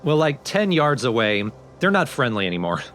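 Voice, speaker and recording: A man's voice: mid-range voice, big pitch swings, moderate pace, fairly bright tone. A man hosting a let's play, explaining the game in casual commentary. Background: video game music.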